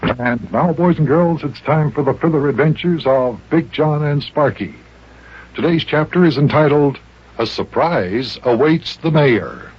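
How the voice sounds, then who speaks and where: A man narrating and hosting an old-time children's radio serial with expressive, deliberate storyteller phrasing. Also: a little high, highs cut off, a little hiss or hum.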